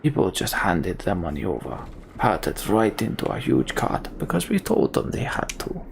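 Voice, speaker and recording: A man voicing a jaded, cynical, world-weary mercenary in a fantasy role-playing game, with a gravelly voice and dry, resigned delivery. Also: fairly low pitch, wildly swooping pitch, normal speed, a little hiss or hum, full character voice. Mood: rueful, wry.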